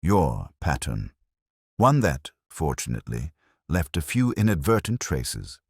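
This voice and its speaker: Man reading as a very deep, measured police inspector. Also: slightly rough, no room sound, studio quality, heavily acted. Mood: formal, firm. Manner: soft-spoken, even, measured, formal. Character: calm, methodical male police inspector, quietly grave. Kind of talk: storybook detective dialogue.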